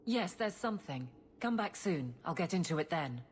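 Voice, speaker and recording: An actress playing a stern, dutiful captain of the city guard in a video game, brisk and authoritative. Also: female voice, on the low side, expressive, natural voice. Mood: firm, businesslike. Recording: faint background noise.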